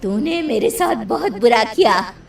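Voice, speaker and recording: A woman's voice: a little high, animated, measured pace, vocal fry. A wronged, vengeful witch ghost, voiced by a woman, declaims threats with menace. The horror tale plays with music behind the voice. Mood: vengeful rage. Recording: music under the voice.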